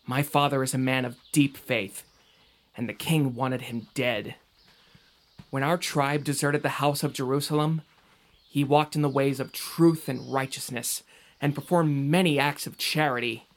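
A man's voice: medium pitch, fairly even intonation, a little slow, close to an everyday voice. An actor playing a doubtful, earnest young man in an audio drama, speaking in a conversational, questioning way. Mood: earnest, downcast.

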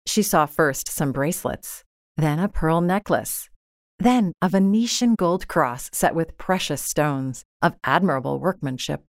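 A woman reading literary fiction as a narrator, with measured, expressive and dramatic delivery. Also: deep voice, no room sound, studio quality. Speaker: audiobook narrator. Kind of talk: scripted literary story narration.

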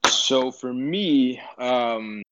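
A man's voice: medium pitch, extremely slow, heavy background noise. A man in his thirties from Peru, chatting loosely on a podcast and swearing casually. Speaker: podcast co-host. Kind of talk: casual chat. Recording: dull lo-fi sound.

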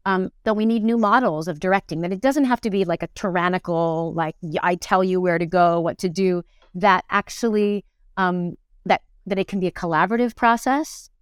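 A woman's voice: fairly low pitch, normal speed, smooth, no room sound, studio quality. A middle-aged woman talking casually and reflectively as an interview guest, searching for words and often checking in with "right?". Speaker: guest, actor, director and acting teacher.

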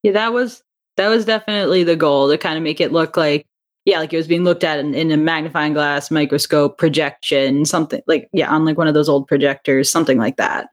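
A woman's voice: deep voice, fairly even intonation, fairly quick. A woman speaking casually as a podcast guest, explaining things in a conversational, self-qualifying way. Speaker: podcast guest, audio drama writer and director. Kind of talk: casual interview chat.

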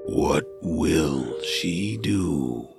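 A man playing a huge, sly man-eating rock monster in an audio drama, gravelly, halting and solemn, with a falsely tender coaxing manner. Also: very deep voice, expressive, music in the background, exaggerated voice. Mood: sinister, falsely mournful.

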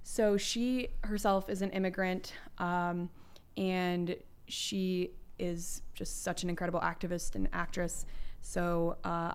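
A young woman co-hosting a casual podcast, chatty and emphatic with youthful slang, her voice a bit lowered as she recovers from flu. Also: smooth. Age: young adult. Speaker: podcast co-host.

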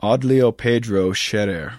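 A man's voice: fairly low pitch, a little slow, a little mellow, no room sound, studio quality. A man in his thirties co-hosting a podcast in casual chat, joking and laughing, stumbling over foreign names. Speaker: podcast co-host.

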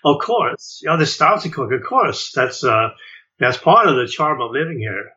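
An older man from Sweden recalls his life in a relaxed interview. His voice is bright and forceful, and he stumbles through fillers and restarts. The audio sounds dull and lo-fi. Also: mid-range voice, normal speed. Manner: hesitant, many uh and repeated words. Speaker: jazz clarinetist guest.

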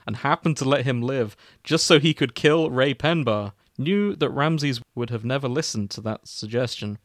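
A man's voice: medium pitch, lively intonation, normal speed, dry recording, studio quality. A man hosting a comic fan fiction reading, narrating theatrically with amused, casual banter.

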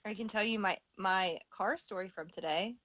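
A woman with a Northern US accent in casual podcast chat, excitable and gushing about things she loves. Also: medium pitch, moderate pace. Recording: dull, lo-fi dry line.

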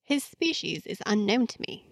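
Woman reading as prickly guarded fantasy heroine, prodding, very up and down pitch, dry recording. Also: very animated, normal speed, dry recording. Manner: prodding, easily annoyed. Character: guarded, indignant woman, daughter of a powerful father.

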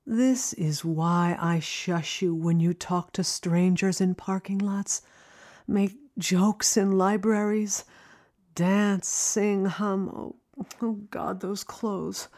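A woman playing a critical but loving middle-aged mother in an audio drama, nagging with drawn-out emphasis. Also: medium pitch, steady tone, darkish tone. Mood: tender, worried.

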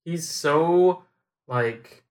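A man co-hosting a podcast, casually explaining video game tactics in a relaxed way. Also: fairly high pitch, drawn-out words. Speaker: podcast co-host.

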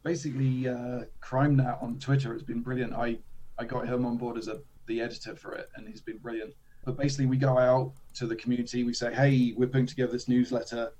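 A man, a slightly hoarse guest chatting casually about tabletop games, with self-deprecating asides. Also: mid-range voice, level tone, quick pace, warm tone, a little hiss or hum, low-quality recording. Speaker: guest, tabletop game designer. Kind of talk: casual interview chat.

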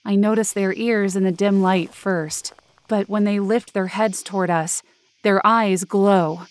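A woman's voice: medium pitch, steady tone, measured pace, close to an everyday voice. A young woman playing an anxious but determined narrator in a supernatural audio drama, earnest and descriptive. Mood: uneasy, hushed. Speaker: narrator and lead character.

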